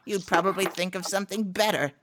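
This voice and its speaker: A woman's voice: medium pitch, brisk, heavy background noise, lightly acted. A woman voicing an earnest, theatrical cartoon character in a live comic dub. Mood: wistful, earnest.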